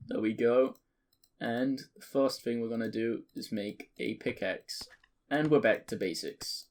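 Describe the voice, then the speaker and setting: A man in his twenties giving rambling, joking commentary over a video game, his voice cracking and jumping between high and deep. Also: a little slow. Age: 20s. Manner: rambling, joking, self-mocking. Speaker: let's play creator. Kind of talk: casual gameplay commentary.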